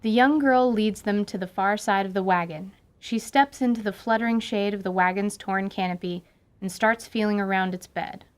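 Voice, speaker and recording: A woman narrating a tabletop adventure as game master, in an even, wry storytelling manner. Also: medium pitch, moderate pace, clean tone.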